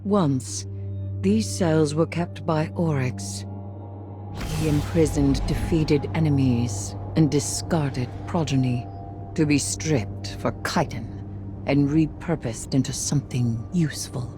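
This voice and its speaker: A low-voiced, slow-speaking dark sorceress talks with grave resolve over music. She is solemn, proud and formal, in the manner of dramatic video game dialogue. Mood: grave, resolute.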